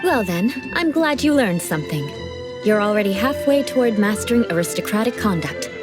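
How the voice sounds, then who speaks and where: A woman's voice: mid-range voice, very animated, normal speed, music in the background, lightly acted. An actress playing a proud young female knight of an aristocratic clan, who speaks in a formal, aristocratic manner. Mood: composed.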